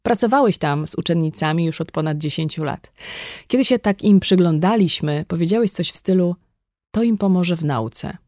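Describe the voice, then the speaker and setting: A woman's voice: lowish voice, animated, moderate pace, darkish tone, no room sound, studio quality. A woman reading a conversational non-fiction audiobook in a scripted narration style. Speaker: audiobook reader.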